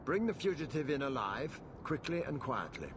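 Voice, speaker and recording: An actor playing a haughty old city magistrate in a video game, speaking in a pompous, commanding manner. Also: male voice, mid-range voice, big pitch swings, moderate pace, close to an everyday voice. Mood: imperious. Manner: pompous, imperious. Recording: noisy ambient background.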